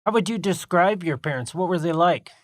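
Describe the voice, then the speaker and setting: A man hosting a podcast, reading a measured introduction and asking interview questions. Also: high-pitched, animated, no room sound, studio quality. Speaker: podcast host.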